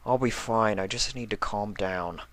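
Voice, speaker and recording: A man's voice: on the low side, normal speed, a bit bright, no room sound, lightly acted. A man voicing a brooding, protective young male pony, plain-spoken and intense, in a story reading. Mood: subdued.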